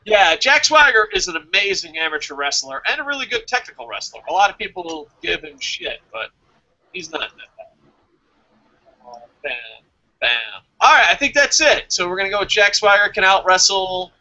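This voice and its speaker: A man's voice: high-pitched, very animated, moderate pace, sharp tone, muffled recording. A man hosting a casual wrestling review podcast, chatting informally with some swearing. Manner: profane, informal. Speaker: podcast host.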